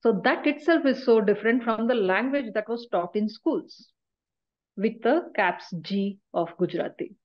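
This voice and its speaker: A woman's voice: on the high side, moderate pace, dull audio. A middle-aged woman giving a university lecture over a video call, explaining points and often checking in with "right?". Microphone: laptop webcam on a video call.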